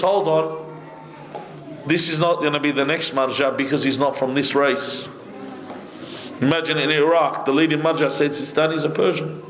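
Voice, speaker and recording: A middle-aged man giving a conversational sermon from a seated position, speaking into a stand microphone with lively gestures and asides. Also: fairly high pitch. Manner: animated, conversational preaching with many asides, gesturing. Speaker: sheikh giving a majlis lecture. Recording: echoey hall.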